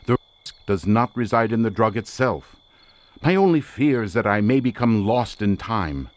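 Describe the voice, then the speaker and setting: An actor playing an intense, imperious occult researcher in an audio drama, speaking in a formal, grandiloquent, theatrical manner. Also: male voice, medium pitch, lightly acted.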